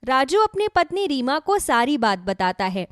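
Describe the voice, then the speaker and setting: A woman's voice: high voice, sing-song, normal speed, no echo, clean studio sound. A woman narrating a scripted children's moral story as a storyteller.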